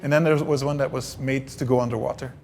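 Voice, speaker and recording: A gravelly-voiced man from Belgium talks in a stop-start way, with plenty of fillers and false starts. His first language is Dutch, and he answers interview questions at an ordinary, middle pitch. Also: steady tone, fast, a little mellow, soft background music. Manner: hesitant, many restarts and fillers. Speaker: film director.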